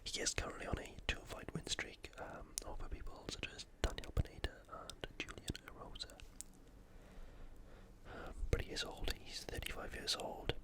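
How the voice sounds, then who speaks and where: A host whispering an informal, opinionated sports preview in ASMR style, all breath and no voice. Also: moderate pace. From United Kingdom.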